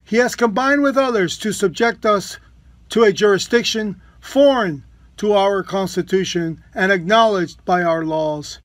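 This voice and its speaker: A man with a high voice reads a historical document formally. The recording is dry, with little room sound. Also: a little slow, dry recording. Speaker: volunteer reader.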